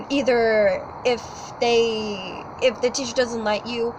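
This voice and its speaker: A teenage girl talks casually and rambles, stopping often to say sorry. Her voice sits a bit high. Traffic and outdoor noise hum clearly behind her. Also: slow pace. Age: teens. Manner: apologetic, rambling with many fillers. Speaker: student co-host. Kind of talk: casual chat.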